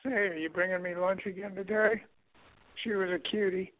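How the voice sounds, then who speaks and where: Phone-line older man, high-pitched and sardonic. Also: level tone, moderate pace. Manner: sardonic, wisecracking, grumbling. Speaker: caller leaving voicemail messages. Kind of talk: casual voicemail message. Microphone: telephone. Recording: tinny phone-line voicemail recording.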